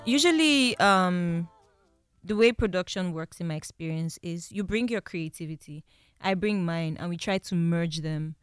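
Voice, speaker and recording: A woman's voice: fairly low pitch, normal speed, smooth, no room sound. A young Nigerian woman singer with a Nigerian accent, talking candidly and reflectively in an interview, often laughing. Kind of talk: interview talk.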